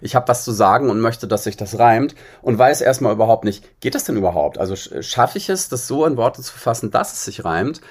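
A man's voice: medium pitch, animated, fairly quick, few pauses. A man from northern Germany talking conversationally as an interview guest, explaining things in a reflective way. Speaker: interview guest, singer-songwriter.

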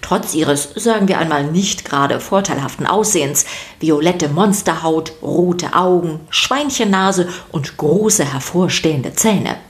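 A woman narrating a scripted children's story podcast, expressive and speaking directly to the listeners. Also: sits low, unhurried.

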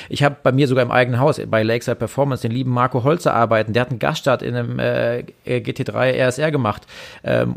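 A man co-hosting a motorsport podcast in casual chat, rambling and animated with many fillers. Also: mid-range voice, gravelly. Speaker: podcast co-host, former racing driver.

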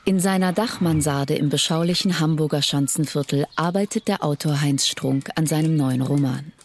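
A woman's voice: low voice, moderate pace. A woman giving scripted narration for an audio drama trailer. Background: music.

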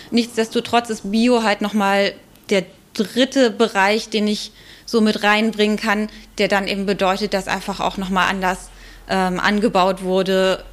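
Woman podcast guest explaining stuff, medium pitch voice, measured, bit of hesitant pausing. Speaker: expert guest. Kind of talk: explanatory podcast interview talk.

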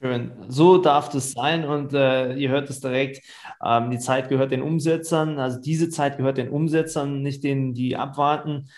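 A man's voice: medium pitch, steady tone, moderate pace, a little mellow. A man hosting an interview, hesitant with many fillers but enthusiastic. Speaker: podcast host.

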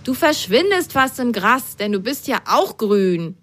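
Storybook sock girl, high chirpy child voice, curious and sunny, played by a woman. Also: very animated, unhurried, faint music behind, full character voice. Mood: cheerful. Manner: bright, high, playful child voice.

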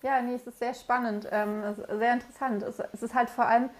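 A woman's voice: on the high side, flat delivery, fast. A woman in a casual discussion who asks questions and often stumbles and restarts her sentences.

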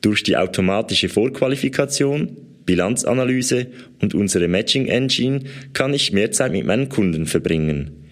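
A man's voice: fairly low pitch, animated, normal speed. A man with a Swiss German accent reading a scripted ad as a company spokesman. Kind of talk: scripted ad read.

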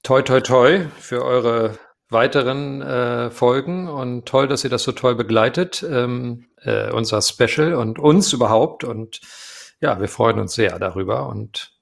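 A middle-aged man, a voice actor, chatting casually as an interview guest, hesitant with many fillers and quick to laugh. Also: medium pitch, gravelly. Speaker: radio play voice actor, guest.

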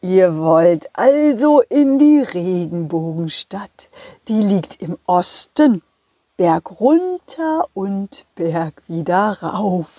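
A woman acts out an old gentleman bookworm from a children's audio play. He speaks very slowly and drags his words, kindly but a bit fretful, in a dry close recording. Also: mid-range voice, expressive, no room sound, pitched below the performer's own voice, full character voice. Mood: kindly, helpful. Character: kindly old male bookworm, slow and bothered by cold.

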